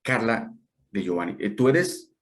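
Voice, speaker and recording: A man's voice: on the low side, big pitch swings, measured pace. A man hosting an interview, curious and hesitant, often rephrasing his questions as he goes. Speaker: interview host. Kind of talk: conversational interview.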